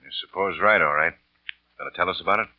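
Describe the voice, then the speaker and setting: An actor playing a blunt, sarcastic district attorney's investigator in a 1940s radio crime drama. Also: male voice, low-pitched, fast talker, dry recording, close to an everyday voice. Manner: blunt, dry. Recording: tinny, narrow vintage radio recording.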